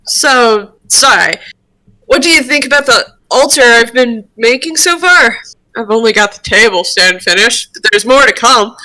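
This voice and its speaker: Woman playing a bright, earnest male devil toon. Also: on the high side, animated, unhurried, rough recording. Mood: cheerful, proud. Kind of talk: amateur roleplay read-aloud of a cartoon character.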